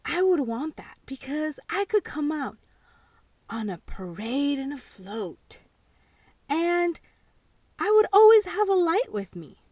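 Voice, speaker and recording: A sensible, slightly bossy young girl lays down the rules in a high, lively voice, as a woman plays her. She speaks slowly and matter-of-factly in a storybook tale. The recording is dry and a little distant. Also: dry recording, heavily acted. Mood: insistent.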